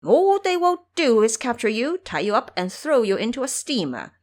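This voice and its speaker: A woman's voice: fairly high pitch, very animated, moderate pace, darkish tone, pitched above the performer's own voice. A woman voicing an exasperated male woodcutter in an audio drama, scolding and blunt with emphatic delivery.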